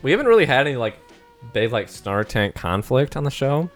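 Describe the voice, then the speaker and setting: A man's voice: medium pitch, very animated, brisk, faint music behind. A young man in his twenties chatting casually on a podcast, hesitant, full of likes and ums, often laughing. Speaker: guest pitching a show idea.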